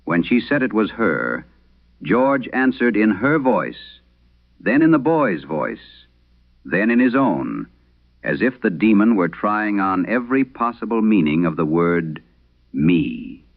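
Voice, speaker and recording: Guy doing an old haunted priest telling a radio horror tale, real deep and slow, solemn. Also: big pitch swings, narrow, tinny audio, heavily acted. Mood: grim, intense. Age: older. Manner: solemn, deliberate, dramatic. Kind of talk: scripted horror radio story narration.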